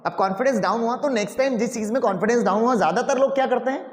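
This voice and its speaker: Male teacher, high voice, rhetorical questions to students, roomy classroom echo. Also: rapid-fire, slight background noise. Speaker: English teacher. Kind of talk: classroom lecture.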